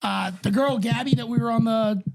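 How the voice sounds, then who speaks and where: A man's voice: extremely high pitch, fairly quick, creaky, darkish tone. A man who does stand-up, chatting as a guest in a laid-back way and swearing casually. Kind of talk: casual chat.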